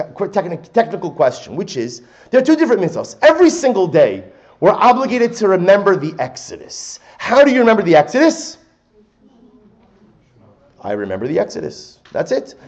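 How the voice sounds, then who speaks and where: High-voiced man teaching a religious class. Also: a little mellow, highs cut off. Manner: emphatic teacherly delivery with repetition and rhetorical questions. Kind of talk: religious text lecture, explaining and quoting sources.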